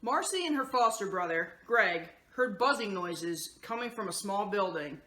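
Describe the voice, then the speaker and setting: A woman's voice: mid-range voice, expressive, normal speed, bright, forceful tone, echoey room, poor audio. A woman hosting a children's story time, addressing young listeners and reading a picture book aloud. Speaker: children's story host and reader.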